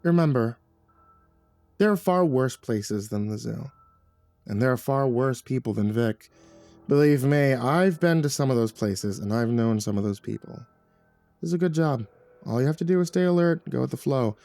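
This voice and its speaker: A man's voice: mid-range voice, expressive, moderate pace, creaky, dark tone, dry recording, soft background music. A man voicing a brash, foul-mouthed tough woman in an audiobook, barking and sardonic, with scornful teasing. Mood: sardonic, reassuring. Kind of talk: audiobook character voice.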